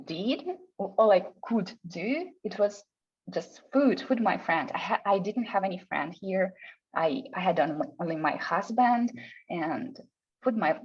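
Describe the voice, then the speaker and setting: A woman from Russia with a Russian accent, English her second language, speaking as a guest in a casual interview, often pausing and restarting her phrases. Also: mid-range voice, breathy edge, highs cut off. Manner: hesitant, restarts phrases, non-native grammar.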